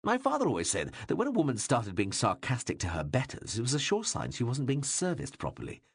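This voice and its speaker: A male narrator voicing a bawdy, teasing king who mocks and needles those around him in a loud, playful way. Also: medium pitch, wildly swooping pitch, brisk, gravelly, breathy edge, dry recording, studio quality, voice pushed up, heavily acted. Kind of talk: audiobook reading.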